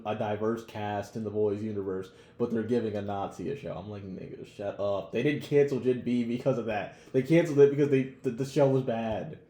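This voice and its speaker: Mellow, echoey young American man podcasting. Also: on the low side, brisk, few pauses. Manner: slangy, blunt, profane. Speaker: podcast co-host. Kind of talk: casual opinionated podcast chat. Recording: roomy echo, background noise.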